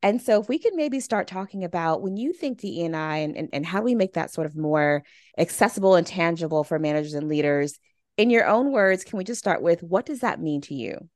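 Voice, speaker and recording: A woman's voice: lowish voice, animated, a little fast, no echo, studio quality. A woman speaking conversationally as the host of an interview podcast.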